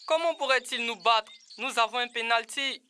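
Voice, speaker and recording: A woman's voice: a little high, normal speed, pushed and bright, a little hiss or hum. A woman voicing a stubborn, proud young boy footballer in a children's cartoon dub. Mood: sulky. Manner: insistent, boastful.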